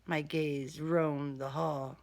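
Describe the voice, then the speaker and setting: A woman's voice: low voice, little pitch movement, takes it slowly, vocal fry, a little mellow. A woman reading a first-person crime thriller audiobook in a dramatic, wry manner. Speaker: author reading own novel excerpt.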